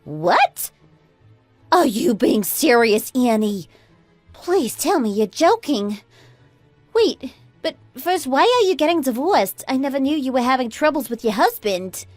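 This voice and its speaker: A woman's voice: high-pitched, sing-song, unhurried, no echo, faint music behind, heavily acted. A woman playing an entitled, self-serving young married woman in a radio drama, with a dramatic, easily flustered delivery. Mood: stunned, disbelieving. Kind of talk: scripted radio drama acting.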